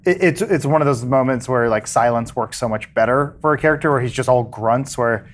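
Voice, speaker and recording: A man's voice: mid-range voice, a little fast, slight background noise. A man chatting on a podcast, rambling with frequent restarts and jokes. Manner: rambling, restarts a lot, jokey. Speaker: podcast regular. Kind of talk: casual chat.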